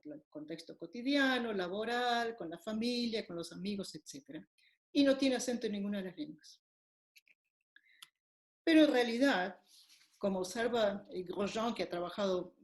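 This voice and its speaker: A woman's voice: mid-range voice, a little mellow. A woman linguistics lecturer giving a recorded academic lecture, explaining ideas step by step with occasional fillers. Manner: measured, explanatory, with frequent fillers. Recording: echoey room.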